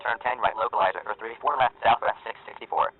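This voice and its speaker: A man voicing an airline pilot who reads back air traffic clearances in clipped radio phrasing. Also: medium pitch, fairly even intonation, natural voice. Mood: routine. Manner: quick clipped radio readbacks. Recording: narrow radio band.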